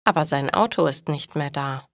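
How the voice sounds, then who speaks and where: A woman narrating a scripted story for language learners in a careful, measured read-aloud manner. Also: low-pitched, vocal fry, dry recording, studio quality. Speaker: narrator of stories for German learners.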